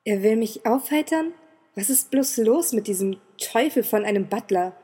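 A woman's voice: fairly high pitch, animated, moderate pace, dark tone, slightly rough. A woman voicing a cold, sulky young earl who speaks curtly and sarcastically.

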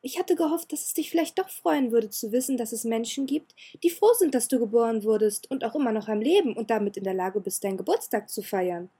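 A bubbly young noble girl, high and eager, her voice bouncing upward and pushing louder than the telling around it, as a woman reader puts her on. The tone is soft and warm with a husky edge. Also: expressive, fairly quick, hardly any pauses. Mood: pleading. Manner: bright pleading sing-song.

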